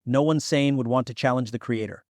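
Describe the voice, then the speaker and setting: A man's voice: mid-range voice, fairly quick, no echo. Male fantasy audiobook narrator, dry recording.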